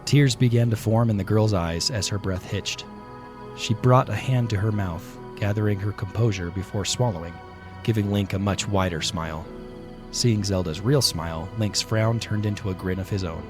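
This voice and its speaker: A man narrating a scripted fantasy audio play with dramatic, deliberate storytelling. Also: fairly low pitch. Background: prominent music.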